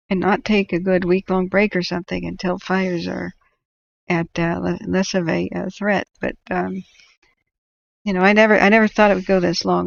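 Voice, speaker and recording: A woman hosting a wildfire-tracking livestream, her throat ragged and exhausted, talking to viewers in a rambling, halting way full of fillers. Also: medium pitch, gravelly, dull audio. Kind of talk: casual livestream talk to viewers.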